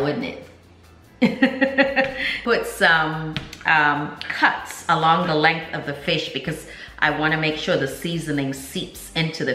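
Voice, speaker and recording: A woman's voice: deep voice, bright, forceful tone, roomy sound. A woman in her forties, a home cooking vlogger, talking casually and upbeat while she demonstrates a recipe, laughing often. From Nigerian, Jamaican and American. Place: home kitchen indoors, garden outdoors at first.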